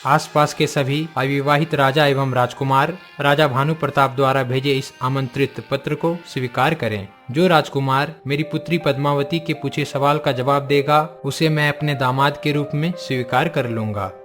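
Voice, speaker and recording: A man's voice: medium pitch, steady tone, moderate pace, hardly any pauses, fairly bright tone, soft background music, lightly acted. Formal, dignified king voiced by a man. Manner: formal, courtly. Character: dignified middle-aged king, formal father of a princess.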